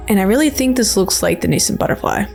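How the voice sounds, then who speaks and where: A woman's voice: medium pitch, expressive, fast, gravelly, a little mellow. Casual, playful woman over background music. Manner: informal, playful, slangy filler-heavy speech. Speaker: video creator and host. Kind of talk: casual rambling video game trailer commentary.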